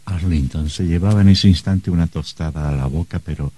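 A grave storyteller narrating a radio drama. His voice is extremely low, dark and raspy, with an ominous delivery. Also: brisk. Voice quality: deep, gravelly.

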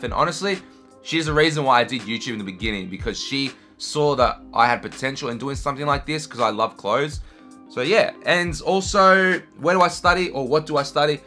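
Slangy young guy, just-woke-up deep voice. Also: sharp tone. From Argentina, raised in Australia. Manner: slangy, laid-back, swears casually. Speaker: fashion YouTuber. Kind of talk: casual vlog Q&A. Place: bedroom with shoe shelves, indoors.

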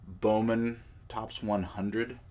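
Man, slightly low voice, has a cold, rambling casual vlog talk, indoor table. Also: drawn-out words. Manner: relaxed, rambling, with fillers and self-corrections. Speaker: card collecting channel host. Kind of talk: casual show-and-tell vlog.

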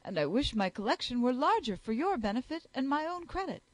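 A woman doing a cheerful, easygoing gentleman. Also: high voice, sing-song, a little fast, no echo, voice pushed up. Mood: hearty.

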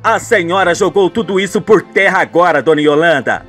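A man's voice: sits high, a little fast, a bit bright, faint music behind. A man playing a disillusioned, indignant young adult son in a radio soap opera.

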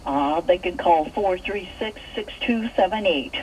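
Low-voiced woman caller, distant and muffled. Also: darkish tone. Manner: hesitant, halting. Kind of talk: phone call requesting help. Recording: distant, muffled, slightly noisy phone line.

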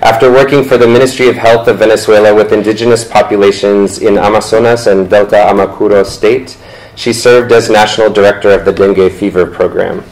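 A man's voice: lowish voice, steady tone, brisk, darkish tone, rough recording. A man in his thirties, the moderator at a talk, reading panelist introductions from notes and sometimes stumbling over words. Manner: reads from notes, stumbles and self-corrects. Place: lecture room, indoors.

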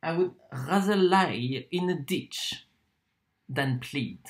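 Slow, theatrical wandering torturer acted out in monologue. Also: mid-range voice. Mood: proud, wounded. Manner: theatrical, deliberate, with dramatic pauses and swings. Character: proud wandering torturer from Poland, wheedling then contemptuous.

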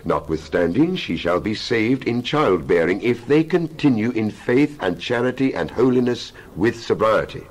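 A man giving a dramatized scripture reading as a Bible narrator, solemn and emphatic, with measured oratorical phrasing. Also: fairly low pitch, sing-song, rough recording. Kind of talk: dramatized scripture reading, King James English.

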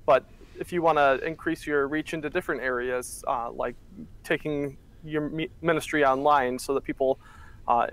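A young man in his twenties, a church media worker, answering interview questions in a relaxed, chatty way with many fillers, heard over a webcam from a small office. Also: medium pitch. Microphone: webcam on a video call.

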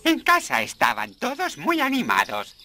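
A man's voice: very high voice, huge pitch swings, normal speed. A man giving scripted storybook narration for a cartoon.

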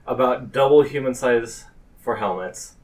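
A man narrating a tabletop game as game master, with halting, deliberate phrasing. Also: mid-range voice, a little mellow, recorded from a distance.

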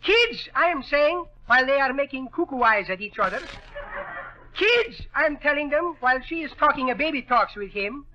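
High, sharp-voiced jolly Greek immigrant. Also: male voice, expressive, normal speed, heavily acted. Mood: excited. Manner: garrulous, fractured English with malapropisms. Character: jolly Greek immigrant man who mangles his words. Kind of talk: 1930s radio comedy acting. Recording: dull vintage 1930s radio broadcast.

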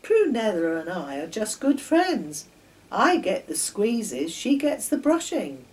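A woman's voice: mid-range voice, very animated, slow, darkish tone. A woman voicing a cheerful, friendly male toothpaste tube in a children's story, speaking emphatically. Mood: cheerful, reassuring. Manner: jolly, emphatic. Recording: distant mic, noticeable background noise.

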